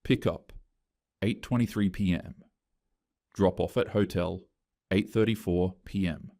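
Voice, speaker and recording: A man voicing a calm, pointed male coworker confronting an HR manager. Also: low voice, unhurried. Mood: cold, pointed.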